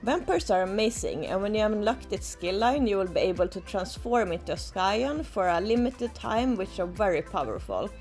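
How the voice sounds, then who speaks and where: A woman's voice: medium pitch, animated, moderate pace, few pauses, darkish tone, faint music behind. Upbeat Swedish woman gamer. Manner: upbeat, enthusiastic, chatty. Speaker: gaming YouTuber. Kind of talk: casual game guide talk. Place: outdoor balcony, tropical resort.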